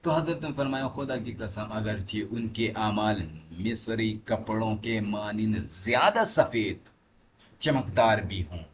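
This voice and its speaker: A man's voice: on the low side, expressive, unhurried. A man giving a religious lesson, reading aloud and explaining in an emphatic, didactic manner as a teacher. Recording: clearly noisy recording with background ambience.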